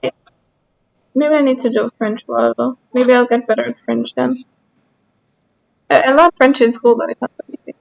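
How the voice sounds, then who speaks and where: High-voiced Austrian woman streamer. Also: steady tone, moderate pace, vocal fry, pauses often, darkish tone, highs cut off. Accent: Austrian German. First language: German. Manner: chatty, answers chat, self-deprecating. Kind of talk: casual livestream chat while gaming.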